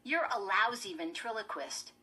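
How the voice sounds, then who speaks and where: A woman's voice: mid-range voice, animated, moderate pace, pushed and bright, slightly rough. A woman voicing an anxious, exasperated young girl with a tense, emphatic way of speaking.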